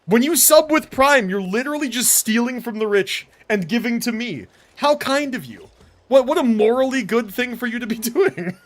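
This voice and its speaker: An American man chatting casually on a live game stream in an animated, slangy, joking way. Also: very high voice, normal speed.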